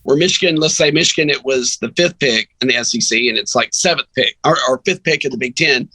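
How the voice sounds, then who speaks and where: A man's voice: mid-range voice, fast talker, bright, forceful tone, no room sound, low-quality recording. A man talking sports casually as a podcast guest, opinionated and emphatic, often rambling into asides. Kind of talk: sports talk, casual conversation.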